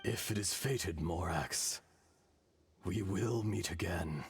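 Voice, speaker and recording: An actor voicing an ancient, weary and noble earth dragon spirit in a video game, solemn and grandly poetic. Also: male voice, sits low, slow, a little hiss or hum. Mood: resigned farewell. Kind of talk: scripted video game acting. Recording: game audio with music behind.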